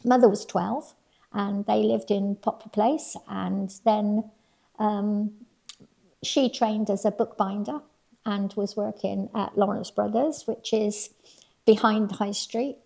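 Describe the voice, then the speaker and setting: An older woman from Weston-super-Mare, talking casually about her family with a gravelly, creaky edge in her voice. She is chatty and breaks into laughs. Her words often restart. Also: medium pitch, fairly even intonation, slow pace, dark tone. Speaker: local resident recalling family history. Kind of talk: casual personal reflection.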